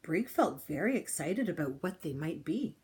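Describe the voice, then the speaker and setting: A woman reading a children's picture book aloud in an expressive style, with deliberate, dramatic phrasing and pauses. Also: deep voice. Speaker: storybook reader.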